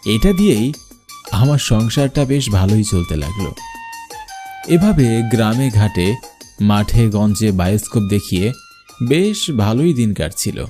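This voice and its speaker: Man as an animated, mellow travelling village showman. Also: mid-range voice. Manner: expressive storytelling, singsong showman chants. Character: travelling village bioscope showman telling his own eerie tale. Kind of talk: scripted first-person horror story narration.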